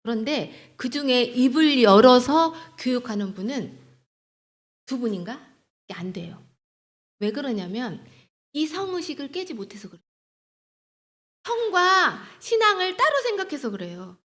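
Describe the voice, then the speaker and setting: Higher-voiced woman giving a church lecture. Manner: asks the audience rhetorical questions, then answers them herself; seeks agreement with short tag questions. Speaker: lecturer at a church sex-values training for leaders. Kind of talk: teaching lecture with religious exhortation.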